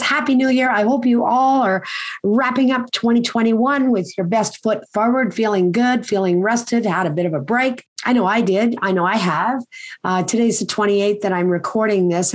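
A woman hosting her own podcast, talking through advice in a chatty, rambling, earnest way while a little hoarse. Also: a little high. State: a bit hoarse. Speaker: podcast host. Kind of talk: casual podcast monologue.

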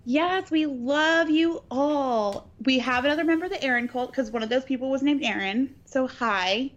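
A woman's voice: sits high, normal speed, muffled recording. A woman co-hosting a podcast in casual, profane, joking chat, with a scratchy, partly lost voice. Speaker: podcast co-host.

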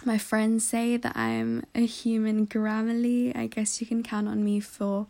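A woman's voice: on the high side, unhurried, mellow. A woman in her twenties, a podcast co-host, introducing herself in a composed, precise way with small laughs. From half Filipino, half Italian, living in London. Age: 20s.

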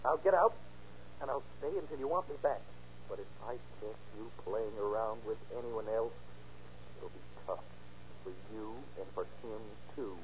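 An American actor playing a jealous, menacing, possessive boyfriend in slangy old radio crime drama style. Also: male voice, lowish voice, normal speed, close to an everyday voice. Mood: low, threatening. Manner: slangy, needling, bullying. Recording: muffled noisy old radio recording.

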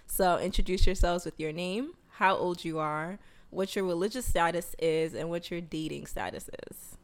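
A woman's voice: lowish voice, moderate pace. A woman in her twenties hosting a podcast in a casual, conversational way. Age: 20s. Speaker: podcast host.

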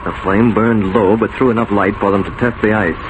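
An American man narrating and announcing a 1940s radio drama in a measured, formal manner. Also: on the low side, steady tone. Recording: noisy vintage 1940s radio recording.

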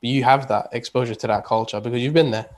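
Man, mid pitch, conversational guest who rambles and restarts often. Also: steady tone, fast talker. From England. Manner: rambling, many restarts and "you know"s. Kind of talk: casual conversation.

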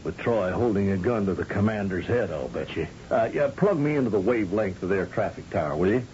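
An actor playing a commanding, folksy governor of a space colony who questions shrewdly, in an old-time radio drama. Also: male voice, on the low side, expressive, brisk, a little mellow, lightly acted. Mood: brisk. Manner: folksy, probing, patronizing. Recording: dull, noisy old radio recording.

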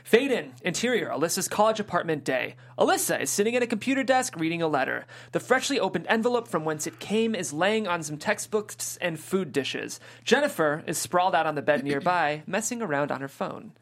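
Casting: table read narrator, steady reading, mid pitch. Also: brisk, few pauses. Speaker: narrator reading stage directions. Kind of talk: reading a screenplay aloud.

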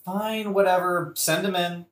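With a roomy echo, a man plays an irritable young fellow in a modern satirical audio drama. His high-set male voice is offhand, wry and sarcastic. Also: flat delivery, a little slow. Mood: irritated. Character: grumpy sarcastic young man, prince's best friend Horatio. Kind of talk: modern-language satirical audio play acting.

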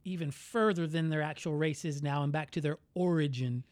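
A man with an American accent co-hosting a podcast, talking in a candid, reflective and measured way. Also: on the high side, darkish tone, no room sound. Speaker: podcast co-host. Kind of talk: casual conversational podcast.

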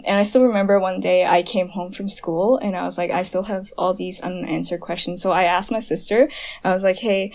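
A woman's voice: mid-range voice, darkish tone. A young woman from Hong Kong, a podcast guest, chatting casually with lots of fillers and laughter. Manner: chatty, many fillers, laughs while telling. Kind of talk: casual chat.

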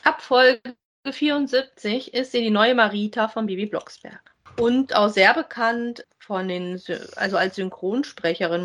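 A woman's voice: mid-range voice, a bit bright. A woman co-hosting a podcast, talking informally and conversationally. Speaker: podcast co-host. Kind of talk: casual chat about audio drama voice actors.

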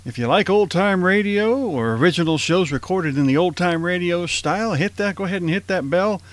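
A man's voice: high voice, expressive, brisk, no room sound. A man announcing as the host of a radio drama show, reading credits and sign-offs.

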